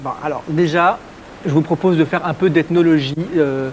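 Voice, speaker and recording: Man in his thirties, chatty, explanatory, somewhat high voice, studio lapel mic. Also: normal speed, a little hiss or hum. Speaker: DVD columnist. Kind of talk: presenting a film segment on a talk show. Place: pink TV studio set.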